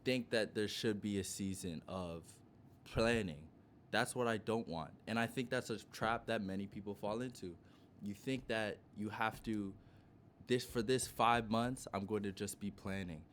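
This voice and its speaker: Low-voiced man over faint background noise. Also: a bit bright. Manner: insistent, halting as he reworks his points. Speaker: podcast co-host. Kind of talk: casual debate.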